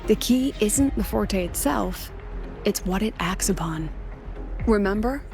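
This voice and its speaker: A poised, measured scholar over music. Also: female voice, mid-range voice, expressive, creaky, a little mellow. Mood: analytical. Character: composed, thoughtful, shrewd female academy scholar. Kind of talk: video game dialogue acting. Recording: game music behind. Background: game music.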